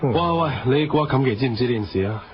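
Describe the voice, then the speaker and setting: An actor playing a worried, loyal taxi owner and blunt friend in a radio drama, with a Hong Kong Cantonese accent and colloquial delivery. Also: male voice, mid-range voice, lively intonation, fast, noisy background, natural voice. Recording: dull lo-fi radio audio with music.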